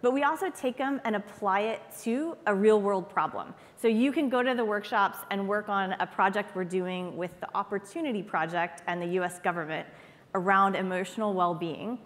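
A woman's voice: medium pitch, moderate pace. A woman giving an informal, upbeat talk from a conference stage. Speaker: conference presenter. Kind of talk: keynote speech. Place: large conference hall stage. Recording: long hall reverb.

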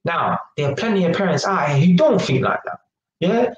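Animated young man, echoey kitchen. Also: high voice, fairly quick, dull audio. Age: 20s. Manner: animated, slangy, keeps asking "yeah?" and gesturing. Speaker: vlogger. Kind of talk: casual monologue to camera. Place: small kitchen indoors. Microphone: webcam at arm's length. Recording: echoey kitchen, faint noise.

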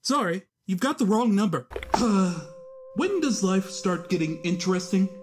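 Man doing a gloomy young cartoonist, sardonic, lively pitch, mock-dramatic, mid voice. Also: unhurried, a little hiss or hum. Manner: sardonic, theatrical mock-grandeur. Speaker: narrator and lead. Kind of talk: cartoon narration and acting.

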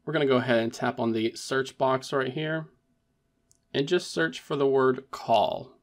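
A man's voice: mid-range voice, fairly even intonation, measured pace. A man presenting a tech tutorial in a methodical, step-by-step instructional narration.

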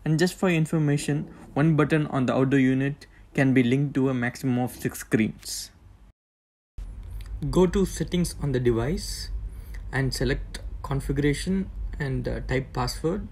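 A man presenting a tech tutorial, explaining the setup step by step in a plain, halting way with fillers. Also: mid-range voice, a little mellow. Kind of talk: casual step-by-step demonstration.

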